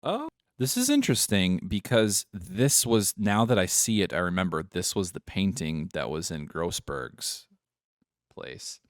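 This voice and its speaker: Irreverent low-voiced man streaming games. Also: big pitch swings, dry recording, clean studio sound. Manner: joking, irreverent, sweary, quick to giggle. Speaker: streamer playing a visual novel. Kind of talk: casual live-stream play-through commentary.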